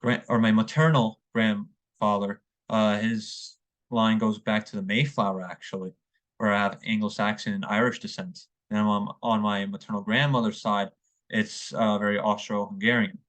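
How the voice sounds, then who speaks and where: A man's voice: lowish voice, flat delivery, normal speed, fairly bright tone. A young American man from the Northeast presenting a class essay, hesitant, with many fillers and restarts. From northeastern United States. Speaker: student.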